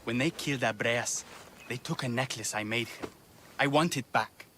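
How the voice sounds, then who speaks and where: A man's voice: medium pitch, moderate pace, bright, forceful tone, soft background music, lightly acted. An actor playing a grieving, vengeful man in a video game, solemn and bitter. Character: grieving, vengeful male musician who lost his brother. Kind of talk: video game dramatic acting. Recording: faint ambient noise.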